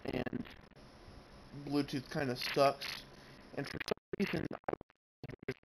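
A man's voice: medium pitch, fairly even intonation, slow, vocal fry. A man giving casual let's-play commentary, talking to the game's characters and swearing mildly as he plays. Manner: mild swearing, talks to game characters. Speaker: gamer narrating his playthrough. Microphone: Bluetooth headset. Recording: noisy, grainy Bluetooth headset audio with game sounds. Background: game audio with horse hooves.

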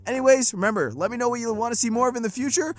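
A man's voice: extremely high pitch, very fast. A man giving a casual, chatty and upbeat outro as a video creator plugging links.

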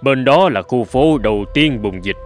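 A man voicing a grave older gentleman physician and mentor in a dubbed cartoon, speaking in a measured, formal, earnest way. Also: medium pitch, lively intonation, faint music behind, natural voice. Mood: calm, explaining.

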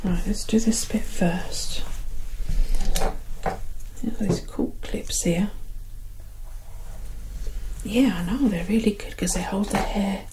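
A British woman doing an ASMR roleplay as a soothing, affectionate mother, reassuring in soft close-up speech. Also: medium pitch, takes it slowly, pauses often, poor audio. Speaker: ASMR performer playing a mother. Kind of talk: ASMR roleplay, whispered and soft-spoken personal attention.

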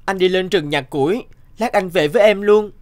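A man's voice: high-pitched, moderate pace, natural voice. A man voicing a caring, hardworking orphan boy in a cartoon, an earnest and tender big brother. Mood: tender, coaxing.

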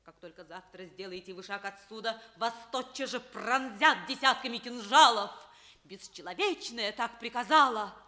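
An actress playing a passionate, scheming enslaved princess in love, with theatrical, drawn-out, declamatory delivery in a radio play. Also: female voice, a little high, pushed and bright. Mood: urgent, indignant. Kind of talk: declamatory classic stage acting in a radio play.

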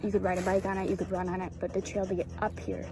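A woman's voice: mid-range voice, little pitch movement, very fast. Chatty young woman vlogging with her dog. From Minnesota. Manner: chatty and upbeat, talking to her dog and the camera. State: sweaty after a climb. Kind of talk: casual vlog chat. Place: in a car and outdoors on a wooded bluff. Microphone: phone camera held at arm's length. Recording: phone recording with clear background noise.

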